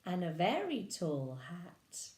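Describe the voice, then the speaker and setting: A woman reading a children's picture book aloud with animated, lively expression. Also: lowish voice, takes it slowly. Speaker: storyteller. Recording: echoey room.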